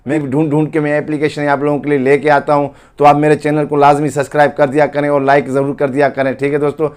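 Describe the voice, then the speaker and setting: A man's voice: a little high, level tone, a little mellow, clear, resonant tone. A man hosting a tech tutorial and narrating an app review, often addressing his viewers as friends. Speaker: host of a tech tutorial channel.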